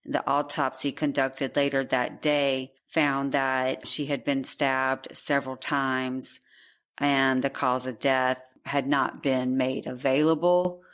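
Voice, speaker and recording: A woman's voice: a bass voice, level tone, takes it slowly, fairly bright tone, clean tone. A woman co-hosting a true crime podcast, going through a case in a chatty, conversational way. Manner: chatty, laughs easily. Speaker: podcast co-host.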